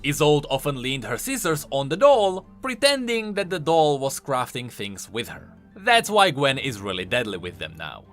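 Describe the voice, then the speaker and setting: Male lore commentator, bright sharp tone, highly animated intonation, emphatic explainer, video voice-over. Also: moderate pace, hardly any pauses. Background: faint music.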